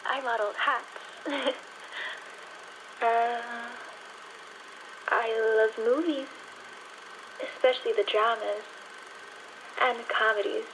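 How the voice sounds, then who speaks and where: Audio drama: shy twenties starlet hopeful, very slow halting delivery, slightly high voice, whirring noise behind. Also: female voice. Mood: shy, bright. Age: 20s. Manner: hesitant, halting, giggly. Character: shy young aspiring actress, nervously hopeful, wistful. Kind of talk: audio drama acting, screen test monologue. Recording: noisy whirring film projector sound.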